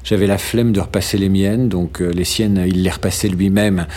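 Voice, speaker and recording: A middle-aged man from France in an interview, talking thoughtfully with frequent "euh" pauses and restarts and some dry humor. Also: sits low, fairly even intonation, a little mellow. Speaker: interview guest. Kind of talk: hesitant conversational interview.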